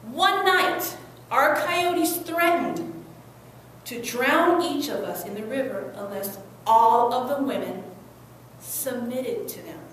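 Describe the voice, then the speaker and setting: A young woman performing a dramatic monologue as a devoted, anguished Salvadoran migrant mother, speaking earnestly with deliberate, emotive phrasing and pauses. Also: sits high, fairly bright tone. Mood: traumatized, urgent. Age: young adult. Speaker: student performer. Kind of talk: dramatic stage monologue reading. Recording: very echoey room, distant mic, faint noise.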